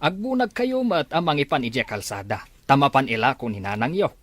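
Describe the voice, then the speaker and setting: A man acts out a weary, stern Ilocano father in a homemade family drama. His scolding voice moves up and down expressively, with middling pitch, recorded dry and without room sound. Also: expressive, a little fast, a bit bright, no echo. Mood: exasperated scolding. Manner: scolding, exasperated. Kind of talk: amateur family drama acting.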